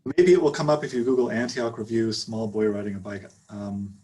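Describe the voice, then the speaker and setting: A man poet answering audience questions at a reading, hesitant and filling pauses with ums. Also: lowish voice, steady tone, mellow, slightly rough, low-quality recording.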